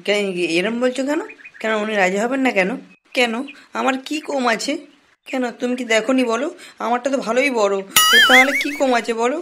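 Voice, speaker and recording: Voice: medium pitch, fairly quick, background noise, lightly acted. Whiny broke young boyfriend, an actor's cartoon voice. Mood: indignant. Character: broke, jobless young man, pleading crude boyfriend. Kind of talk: comic cartoon dialogue.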